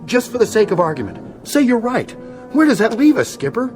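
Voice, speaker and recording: An actor playing a skeptical but respectful flight officer in a radio drama, with a questioning, wry delivery. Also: male voice, high-pitched, huge pitch swings, a little fast, darkish tone, soft background music. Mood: doubtful.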